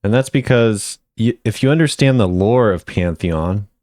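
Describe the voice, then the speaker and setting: A man's voice: fairly low pitch, expressive, moderate pace, a little mellow, no room sound, clean studio sound. A man hosting a video, giving casual commentary as he ranks game voice lines.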